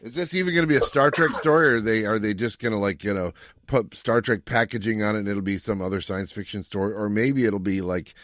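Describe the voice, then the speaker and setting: A man's voice: fairly low pitch, fast talker, fairly bright tone, no room sound, studio quality. A man hosting a podcast in casual chat, explaining things in a rambling, self-correcting way. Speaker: podcast host.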